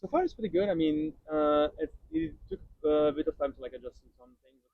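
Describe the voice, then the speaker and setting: A man's voice: a little high, wildly swooping pitch, fast talker, gravelly, dry recording, phone-quality sound. A young man from Italy, answering casually in an interview with hesitant, repeated words. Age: young adult.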